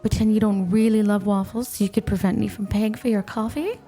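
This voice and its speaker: A woman's voice: mid-range voice, steady tone, fairly quick, dark tone, breathy edge, faint music behind. A woman playing a playful, possessive snow spirit in an ASMR roleplay, coaxing and teasing the listener with coy, intimate talk. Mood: playfully impressed. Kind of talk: ASMR roleplay, scripted, intimate one-sided dialogue.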